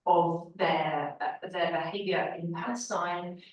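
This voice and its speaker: A woman's voice: lowish voice, steady tone, takes it slowly, muffled recording. Hesitant British woman asking from the audience. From Britain. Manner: hesitant, searching for words. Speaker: audience member asking a question. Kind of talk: audience question at a panel. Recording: echoey hall, distant voice.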